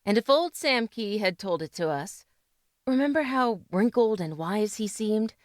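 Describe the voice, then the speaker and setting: A woman's voice: medium pitch, animated, a little slow, no echo, studio quality, close to an everyday voice. A woman playing a spirited, clever doctor's wife in an old-time radio style drama. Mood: playful, proud. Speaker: actress.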